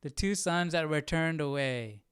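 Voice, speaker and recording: A man hosting a livestream with casual reaction commentary, haltingly thinking aloud. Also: fairly high pitch, a bit bright, smooth. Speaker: livestream host.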